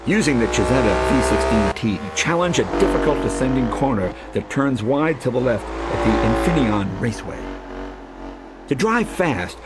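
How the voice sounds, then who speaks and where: A man reading scripted instructional voice-over for a racing game in a clear, measured manner. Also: medium pitch. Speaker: game tutorial narrator. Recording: loud car engine noise over voice. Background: race car engines and driving sounds.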